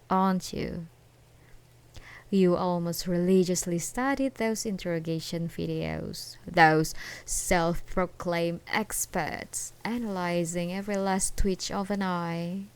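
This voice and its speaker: A woman playing an obsessed, unhinged ex-girlfriend in an ASMR roleplay, taunting and possessive in a sweetly menacing, giggly way with drawn-out words. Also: on the low side, clean tone. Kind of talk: ASMR roleplay acting, one side of a conversation.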